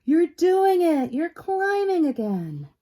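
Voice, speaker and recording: Ladybug best friend for a picture book, done by a woman: high-pitched, animated, female, an encouraging cheerleader. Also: slow, exaggerated voice. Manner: bright coaxing, cheerleading. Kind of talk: children's storybook character voice.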